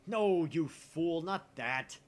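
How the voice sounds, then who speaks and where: A man's voice: fairly high pitch, expressive, slow, voice pushed up. A man voicing an agitated young self-proclaimed mad scientist in a visual novel, with theatrical, emphatic delivery. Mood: confused, insistent.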